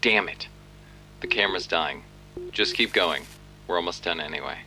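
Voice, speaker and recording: A deep, sharp-edged grim cop. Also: male voice, moderate pace, slight background noise, exaggerated voice, rough recording. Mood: urgent. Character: blunt, grim male police colleague, practical and persistent. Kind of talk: audio drama acting.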